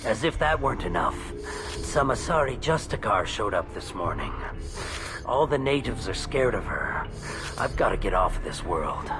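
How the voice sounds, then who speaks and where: A man's voice: fairly low pitch, sing-song, moderate pace, music in the background. A man playing an anxious, put-upon alien merchant in a video game, with a nervous, aggrieved manner.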